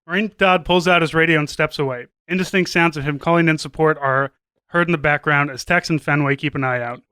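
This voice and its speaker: A male podcast narrator reading a comic script. His voice is high, bright and clean, with very little room sound around it. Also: a little fast, clean tone, no echo, studio quality.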